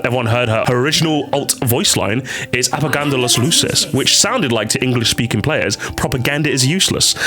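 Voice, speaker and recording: A man with a bright, forceful voice streams casual commentary on a game. His pitch jumps around freely as he turns sarcastic, repeating phrases for effect and cursing along the way. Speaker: streamer reacting to and ranking game voice lines.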